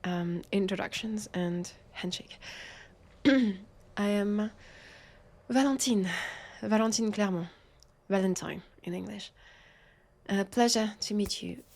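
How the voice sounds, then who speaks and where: Woman doing a french accent vampire detective lady, audio roleplay, slow and halting, snarky, indignant, kinda flustered. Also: mid-range voice, darkish tone. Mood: resigned, awkward. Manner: halting, sputtering, sarcastic. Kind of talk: scripted audio roleplay acting.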